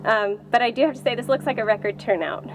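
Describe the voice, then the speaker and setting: High-voiced woman giving a welcome speech. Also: fast talker, noisy background. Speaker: cemetery staff member giving welcome speech. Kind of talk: informal welcome speech to a gathering. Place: outdoors at a cemetery gathering.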